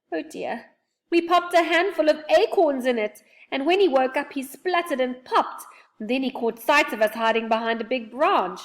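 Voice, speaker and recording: A woman's voice: high-pitched, pitched above the performer's own voice. A woman voicing a tearful young female fairy, speaking in sobs and pleas. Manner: sobbing, pleading.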